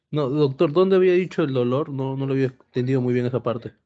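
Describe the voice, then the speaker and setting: A young man in a medical seminar asks his teacher a question, stumbling and rephrasing as he goes. His voice sits at a middle pitch and comes through a dull, lo-fi line. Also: fairly even intonation, a little fast. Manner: hesitant, full of restarts. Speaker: medical student. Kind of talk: asking questions in class.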